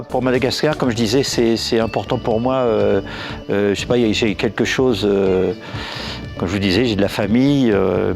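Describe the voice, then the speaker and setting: A man with a gravelly, rough-edged voice answers in a halting way. He pauses often and restarts his sentences. Faint music runs underneath. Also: medium pitch, darkish tone. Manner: halting, many fillers and restarts. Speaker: interviewee at a francophonie event. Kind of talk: hesitant interview answers.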